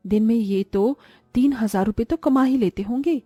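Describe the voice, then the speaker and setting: A woman acts out a greedy, envious young wife from a cartoon moral story. Her voice is fairly high and soft-edged, with a nagging, sharp-tongued manner. It is recorded close and dry over music. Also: moderate pace, husky, dry recording. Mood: scheming.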